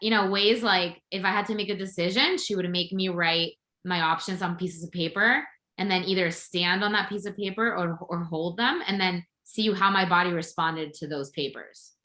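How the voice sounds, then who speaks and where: A woman's voice: on the low side, moderate pace, bright, forceful tone, roomy sound. A woman from Venezuela, a podcast guest and intuitive coach, telling her story in an open, upbeat way. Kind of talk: casual interview chat.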